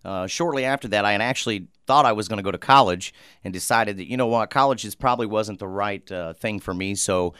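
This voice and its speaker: A low-pitched man, answering questions as a local mayoral candidate. His voice is smooth and resonant, recorded dry and close. He talks earnestly, stopping often with fillers and false starts. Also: fairly bright tone, no echo. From Muncie, Indiana. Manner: earnest, halting, many fillers and restarts.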